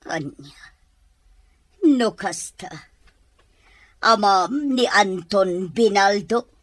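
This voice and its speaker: A woman's voice: mid-range voice, drawn-out words, fairly bright tone, dry recording, clean studio sound. A woman playing a reserved, probing middle-aged mother, asking questions in a Filipino Ilocano accent. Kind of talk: radio drama dialogue.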